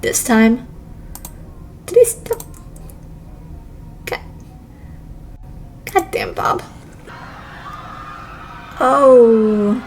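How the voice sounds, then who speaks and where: A woman's voice: a little high, sing-song, extremely slow, long pauses, a little mellow, slight background noise. A woman doing a casual, exclamatory game playthrough, reading dialogue aloud and reacting to it. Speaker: streamer reading visual novels aloud.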